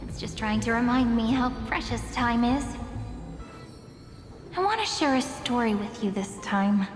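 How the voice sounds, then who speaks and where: A woman playing a gentle, wistful young woman in an anime video game, with a dreamy, deliberate storyteller's manner. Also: on the high side. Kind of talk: English-dubbed anime video game acting. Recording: very echoey long hall, music behind.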